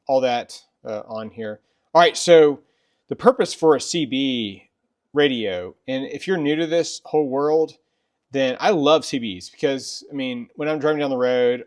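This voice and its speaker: Male host, middle-aged, medium pitch. Conversational, informal review delivery that circles back over phrases. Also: normal speed. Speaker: video host. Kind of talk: casual product review chat.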